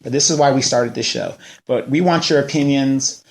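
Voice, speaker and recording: A man's voice: mid-range voice, moderate pace, low-quality recording. A man in his forties hosting a local news show, ranting in a casual way and firing off rhetorical questions. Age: 40s. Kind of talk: casual political commentary.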